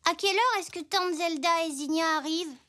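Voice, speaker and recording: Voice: brisk, sharp tone. A young performer voicing an eager, easily embarrassed little zebra boy in a French preschool cartoon, with an earnest childlike voice. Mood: curious. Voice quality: high childlike voice. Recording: music playing behind the voice.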